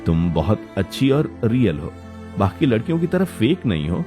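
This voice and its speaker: A man voicing an earnest young man in a cartoon story, gentle, apologetic and pleading. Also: on the low side, sing-song, normal speed. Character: earnest young man seeking a girl's friendship. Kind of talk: Hindi cartoon story voice acting. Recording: music behind the voice.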